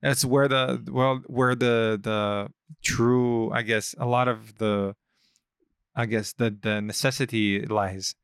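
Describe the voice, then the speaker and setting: A man hosting a podcast in casual chat, often pausing and restarting as he searches for words. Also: medium pitch, fairly even intonation, a bit bright, clear, resonant tone, dry recording, clean studio sound. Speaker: podcast host. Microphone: studio podcast mic.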